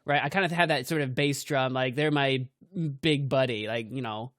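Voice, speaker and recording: A young man co-hosting a podcast in casual chat, with a higher-pitched voice, laughing often and leaning on "like" fillers. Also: fairly even intonation, moderate pace, fairly bright tone, no room sound, studio quality. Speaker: podcast co-host. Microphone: home mic over voice chat.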